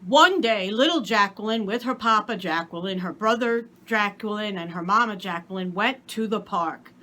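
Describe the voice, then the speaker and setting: A woman reading a story aloud in expressive, scripted storybook narration. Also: mid-range voice, normal speed. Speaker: story reader.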